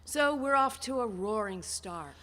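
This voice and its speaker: Slow, deliberate woman giving a report. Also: fairly high pitch, lively intonation, a bit bright. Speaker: treasurer giving donation report. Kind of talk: measured reporting, reading out notes. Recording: echoey hall, long reverb.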